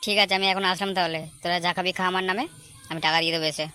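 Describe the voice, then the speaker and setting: Man as brash, fast-talking young cartoon slacker. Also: high-pitched, fairly even intonation, sharp tone, a little hiss or hum. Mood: urgent. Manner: brash, crude, slangy. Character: cocky, hot-headed young slacker man, bragging to friends. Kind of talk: amateur comedy cartoon dub.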